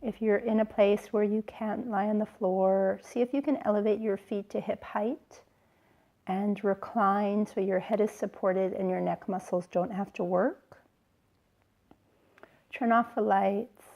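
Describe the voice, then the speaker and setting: A woman yoga teacher leading a guided relaxation in a soothing, gentle way, with unhurried phrases and pauses. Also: mid-range voice. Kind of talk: slow guided relaxation instruction.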